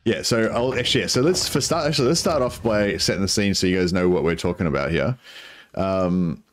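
A man, a croaky voice and a headset, chatting casually while streaming a strategy game, rambling with many ums. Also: low-pitched, animated, fairly quick. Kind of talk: casual gameplay commentary.

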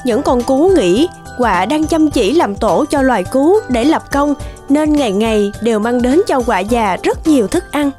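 A woman's voice: high-pitched, animated, unhurried, warm tone. A woman reading scripted fairy-tale narration for a cartoon. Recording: music behind the voice. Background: music.

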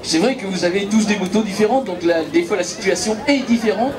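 A man's voice: high voice, brisk. A man announcing a prize-giving outdoors at a motorbike race, speaking into a handheld mic and urging the crowd to applaud. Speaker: event announcer. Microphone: handheld microphone. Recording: crowd noise around. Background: crowd chatter.

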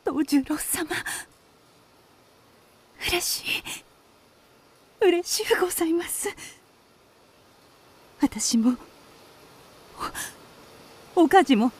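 A timid, demure innkeeper's wife, secretly smitten, in a period radio play. Her voice is extremely high and animated in pitch. She speaks very slowly, in hesitant fragments of polite, archaic speech. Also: exaggerated voice. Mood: overcome with love. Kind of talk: period radio drama acting.

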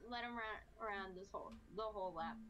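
A woman's voice: mid-range voice, fast talker, a bit bright. A woman improvising game roleplay in casual chat, swearing freely. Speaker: roleplay player.

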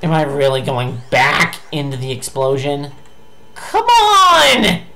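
A young man streams a game from a small room, ranting and cursing at it in a hoarse, gravelly voice. He speaks slowly and low, but his pitch leaps wildly whenever he gets frustrated. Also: very animated. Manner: ranting, swearing, frustrated outbursts. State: losing his voice. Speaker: gameplay streamer. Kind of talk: angry gameplay commentary.

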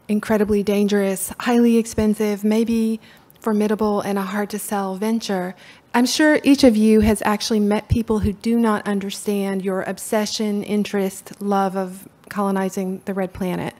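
Soft-spoken Appalachian woman in a hall. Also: medium pitch. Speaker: conference speaker. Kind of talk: conference talk. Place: conference hall with podium. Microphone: podium mic from far camera.

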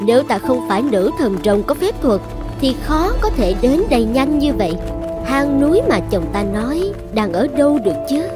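A woman voicing a proud young dragon goddess in an animated fairy tale, with theatrical, clear enunciation. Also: high-pitched, normal speed, over loud music. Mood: self-assured. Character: proud young dragon goddess, devoted then vengeful wife.

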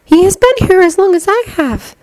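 A woman voicing a calm, caring teenage girl held prisoner in a story, soft-spoken and gently firm. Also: extremely high pitch, normal speed, no room sound, voice pushed up. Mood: quiet, grave.

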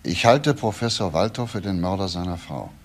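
A male actor playing a deliberate, insistent police investigator in a crime radio play. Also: low voice, animated. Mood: insistent, pointed. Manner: deliberate, pointed, questioning. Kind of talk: radio crime drama acting.

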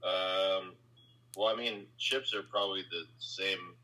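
Deep hesitant man on video call. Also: steady tone, takes it slowly, pushed and bright, rough recording. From California. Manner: hesitant, filler-heavy, low-key.

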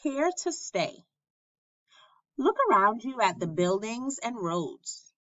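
A woman reading aloud to children as a teacher, deliberate and clearly enunciated, in an instructional way. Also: on the high side, sing-song, a bit bright, no room sound, muffled recording. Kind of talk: children's read-aloud lesson narration.

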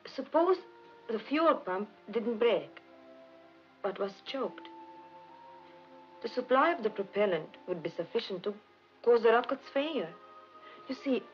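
An actress playing a devoted, earnest female scientist in a 1950s film drama, speaking emphatically with dramatic pauses. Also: a little high, expressive. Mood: urgent, reasoning. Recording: muffled 1950s film soundtrack, faint music.

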